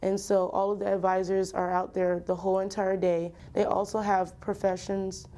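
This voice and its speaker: A woman's voice: mid-range voice, level tone, normal speed, mellow. A young woman, a college student, giving an informal interview testimonial. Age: young adult.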